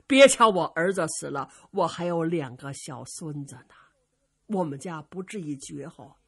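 A woman voicing an aggrieved but forbearing old lord in a storytelling tale, slow and deliberate. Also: low-pitched, expressive, slightly rough, voice pushed down, heavily acted. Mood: pleading.

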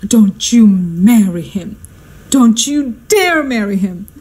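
A woman's voice: mid-range voice, expressive, slow, a little mellow, breathy edge. A woman playing a histrionic society hostess and mother in a radio drama, speaking in a flustered, theatrical, exclamatory way. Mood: exasperated, indignant. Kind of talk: stagey radio drama acting.

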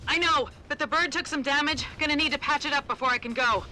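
Game pilot gal, super bright voice, brisk and cocky, clipped. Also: female voice, sits high, poor audio. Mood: urgent. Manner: confident, teasing, clipped radio-call phrasing. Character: brisk, wry young female military-style pilot. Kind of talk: video game acting.

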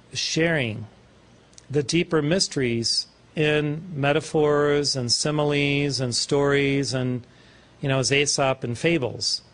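Older man giving a playful, rambling sermon. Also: mid-range voice. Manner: warm, playful, digressive, chuckling at his own wordplay. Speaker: spiritual teacher. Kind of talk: informal sermon. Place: small studio before a backdrop. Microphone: lapel mic.

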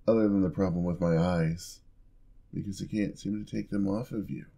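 A man voicing a flirty yandere husband in an ASMR roleplay, smooth-talking and teasing with a playful menacing edge. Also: low voice, steady tone, normal speed, dark tone, full character voice.

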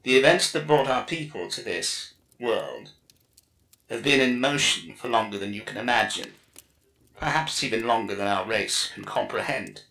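Man acting out solemn alien seer women, creaky and effected. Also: fairly low pitch, lively intonation, normal speed, sharp tone, heavily acted, rough recording. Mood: grave, cryptic. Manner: solemn, portentous. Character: stern alien warrior women and seers, grave and cryptic. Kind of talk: dramatic audiobook character acting.

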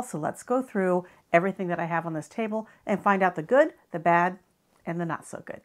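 A woman in her fifties with an American accent, chatting and explaining as she reviews travel products to camera. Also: mid-range voice. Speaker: travel gear vlogger. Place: small furnished living room, indoors.

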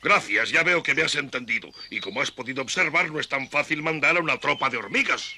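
A man's voice: mid-range voice, animated, quick pace, bright, forceful tone, a little hiss or hum. A man voicing a pompous, strict ant colonel in a dubbed children's cartoon, speaking formally and with command. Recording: music behind the voice.

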